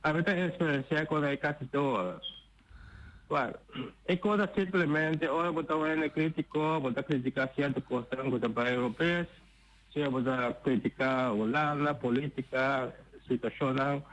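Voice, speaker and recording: A male caller on a radio call-in with a slightly high voice. His line carries a faint hiss, and he talks in quick, repetitive phrases. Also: a bit bright, low-quality recording.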